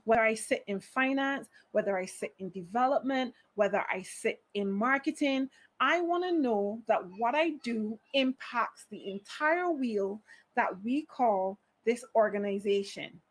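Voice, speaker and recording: A woman's voice: fairly high pitch, animated, unhurried, low-quality recording. A woman with a Barbadian accent giving an online conference talk on HR, earnest and emphatic, often addressing her audience directly. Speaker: HR speaker.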